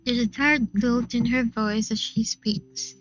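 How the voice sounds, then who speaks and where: A woman reading a visual novel aloud in an expressive, unhurried first-person narration. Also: a little high, dull audio. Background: game music.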